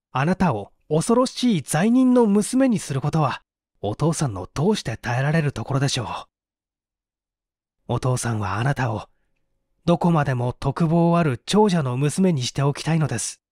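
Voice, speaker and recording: A man voicing an earnest, composed young gentleman, formal and measured, in an audiobook drama. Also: on the high side, no echo, studio quality.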